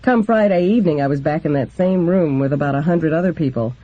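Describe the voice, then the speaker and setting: A man's voice: fairly high pitch, fast talker. A man reading a memoir aloud as scripted narration, in a dull lo-fi recording. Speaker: reader of a book.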